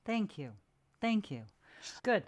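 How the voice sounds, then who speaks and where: A woman's voice: medium pitch, sing-song, slow pace. A woman hosting a casual interview, putting questions to guests. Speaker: interviewer, host.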